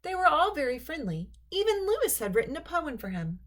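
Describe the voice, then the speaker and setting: A woman reading a children's story aloud in an expressive, deliberate storytelling manner. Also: sits high. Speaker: storybook reader.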